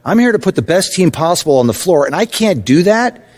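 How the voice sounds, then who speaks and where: A man playing a stern, frank veteran basketball coach in a video game cutscene, blunt and firm but supportive in a measured delivery. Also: sits high, very animated, darkish tone.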